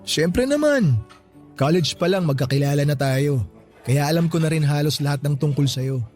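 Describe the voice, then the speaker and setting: A man's voice: a little high, animated, moderate pace, a little mellow, faint music behind, lightly acted. An actor playing an affectionate, grateful boyfriend and young father in a radio drama. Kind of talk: Tagalog radio drama acting.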